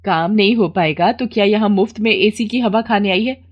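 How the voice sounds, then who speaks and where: A haughty, sharp-tongued mistress of the house, put on by a woman in a storybook tale. She scolds and orders in a bossy way. The recording is slightly muffled. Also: medium pitch, normal speed, close to an everyday voice. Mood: scornful, bossy.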